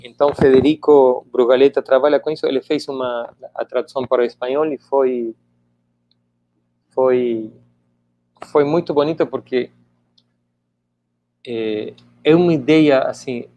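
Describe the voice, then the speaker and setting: A man's voice: medium pitch, fairly even intonation, measured pace, long pauses, warm tone. A middle-aged man whose native language is Spanish, talking reflectively in an interview with many hesitant restarts. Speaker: interviewee, author.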